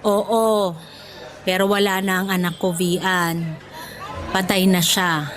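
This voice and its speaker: A woman's voice: fairly low pitch, level tone, slow, crowd noise. An actress playing an affectionate, motherly older former nanny in a Filipino radio drama, with a Filipino accent. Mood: grieving.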